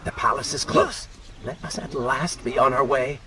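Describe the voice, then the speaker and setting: An actor playing the sardonic, ruthless dark alter ego of a warrior prince in a video game, with a mocking, sarcastic manner. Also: male voice, medium pitch, normal speed, background noise, close to an everyday voice. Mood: goading. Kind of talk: video game cutscene acting.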